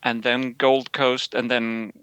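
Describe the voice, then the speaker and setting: A man with a Swedish accent chatting as a podcast guest, animated, with halting starts to his sentences. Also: medium pitch, clear, resonant tone, dry recording. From Sweden. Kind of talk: casual interview chat.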